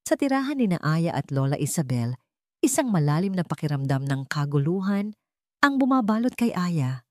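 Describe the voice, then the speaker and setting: Female Filipino storyteller for scripted drama narration; low register, dry recording. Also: big pitch swings, normal speed, no room sound.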